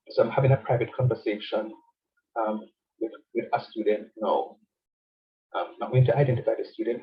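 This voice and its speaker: A man's voice: mid-range voice, slightly rough, lo-fi sound. A man teaching a math lecture, nasal and stuffed up from a cold. He repeats his points and keeps checking that the class follows him. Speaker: university lecturer.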